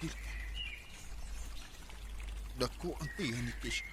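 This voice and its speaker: An actor with a Cebuano Filipino accent playing a torn, earnest young husband and dutiful son in a radio drama. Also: male voice, mid-range voice, slow, close to an everyday voice. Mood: hurt. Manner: emotional, earnest. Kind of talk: Bisaya radio drama acting. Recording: very noisy radio drama recording.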